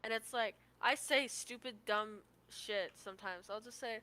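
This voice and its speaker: A woman's voice: fairly high pitch, unhurried, pushed and bright. A young American woman telling stories in casual chat, with a slangy, sweary, rambling manner. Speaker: livestreamer.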